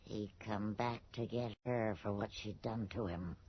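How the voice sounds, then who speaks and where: A woman's voice: very deep voice, steady tone, measured pace, breathy edge, dry recording, heavily acted. An actress playing an anxious, gossipy rural townswoman in a 1980s radio horror drama, speaking in a folksy, ominous way. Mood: grim, accusing. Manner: folksy ungrammatical speech, hushed and ominous. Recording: dull lo-fi radio drama recording.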